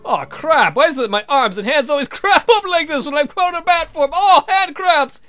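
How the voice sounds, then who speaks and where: A man's voice: very high-pitched, expressive, moderate pace, sharp tone, soft background music, pitched above the performer's own voice, heavily acted. A man voicing a clumsy, flirtatious vampire count from a game, grumbling and swearing theatrically. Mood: exasperated.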